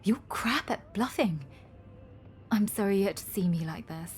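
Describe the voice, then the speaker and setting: A woman's voice: medium pitch, expressive, normal speed, soft background music, natural voice. An actress playing a hurt, bitter, vulnerable woman in a radio soap.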